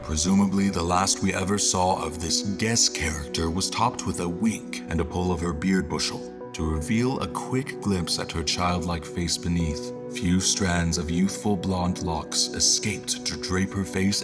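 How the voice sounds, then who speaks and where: A man's voice: deep voice, unhurried. A man playing a wry crew member who narrates a scripted fantasy audio drama in ornate, descriptive language. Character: male crew member narrating his memories, literary and wry. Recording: prominent music behind. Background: music.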